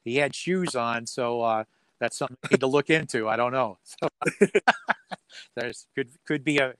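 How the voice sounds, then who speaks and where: A man's voice: medium pitch, moderate pace, fairly bright tone, clean tone, no room sound. A man speaking as a sports announcer being interviewed, chatting casually in a folksy, joking way with dry humour. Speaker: radio sports play-by-play announcer as interview guest.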